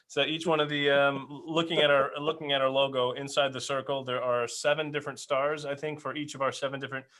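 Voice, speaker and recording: Male co-host for a casual group podcast; bright, forceful tone at average pitch, joking and ribbing. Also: flat delivery, fast. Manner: jokey, teasing.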